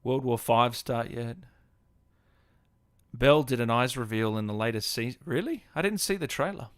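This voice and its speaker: A man's voice: mid-range voice, moderate pace, clean tone, dry recording. Australian man chatting casually, dry close sound. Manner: informal, chummy, addresses viewers as guys and man. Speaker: gaming streamer and host. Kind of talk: casual livestream chat, answering viewers' comments.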